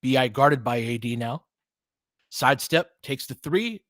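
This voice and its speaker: A man's voice: medium pitch, animated, unhurried, fairly bright tone, dry recording, studio quality. A man giving informal, partisan live basketball commentary as a host, reacting openly to the plays like a fan.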